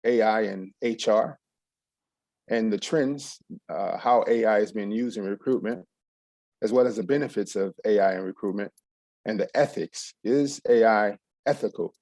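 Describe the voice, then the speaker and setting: A man's voice: on the low side, normal speed, darkish tone, no echo. A middle-aged man from Texas, giving a loose, rambling presentation to an audience with plenty of ums and restarts. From USA, San Antonio, Texas.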